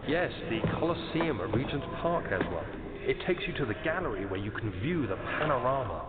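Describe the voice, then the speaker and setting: A man playing an earnest, troubled young gentleman in a video game, speaking formally and reflectively. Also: mid-range voice, big pitch swings, fairly quick, lightly acted. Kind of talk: video game acting, diary narration. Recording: narrow-band game audio with music.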